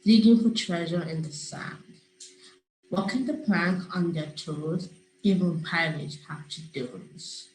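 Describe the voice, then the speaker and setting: A young woman from Nigeria, a public health student, reading a rhyming children's book aloud over a video call in a steady, friendly way. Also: fairly low pitch, a little slow. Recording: roomy video-call audio with faint hiss.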